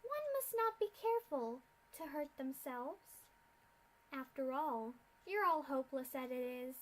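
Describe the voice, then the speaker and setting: A woman's voice: high voice, lively intonation, measured pace, poor audio. A woman voicing a sadistic, mocking female pony scientist in a fanfic reading, with a theatrical, mock-polite sneer.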